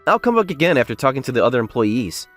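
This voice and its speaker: A man's voice: medium pitch, big pitch swings, fast, fairly bright tone, faint music behind. A man playing a modest, plain-spoken young factory worker who narrates his own story in a manga dub. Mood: polite, earnest. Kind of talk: English manga dub acting and first-person narration.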